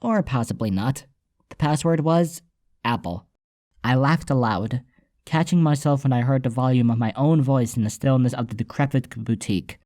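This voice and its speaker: A man's voice: medium pitch, moderate pace, darkish tone, no echo, studio quality. A man reading scripted first-person story narration as an audiobook narrator.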